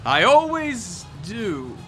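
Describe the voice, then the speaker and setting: Man as a lively, cocky, flirtatious swordsman. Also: high-pitched, drawn-out words, soft background music, exaggerated voice. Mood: flirty, teasing. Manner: teasing, nonchalant. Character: cocky, flirtatious swordsman, nonchalant male adventurer. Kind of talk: fantasy tabletop radio drama acting.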